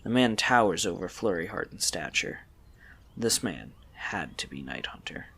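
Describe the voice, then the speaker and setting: A man's voice: deep voice, big pitch swings, normal speed, sharp tone, breathy edge. A man narrating a scripted audio story.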